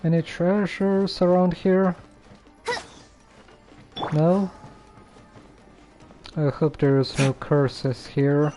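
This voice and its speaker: A man giving casual live gameplay commentary, halting and muttering to himself as he plays. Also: fairly high pitch, fairly even intonation, mellow. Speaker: game streamer. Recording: faint game music underneath.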